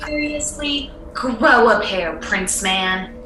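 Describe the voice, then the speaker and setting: A woman's voice: fairly high pitch, lively intonation, slow pace, sharp tone, exaggerated voice. A woman playing a sassy, bold little fairy-tale girl in a radio play, brash, cheeky and defiant. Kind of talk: irreverent radio play acting. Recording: roomy, faintly noisy recording.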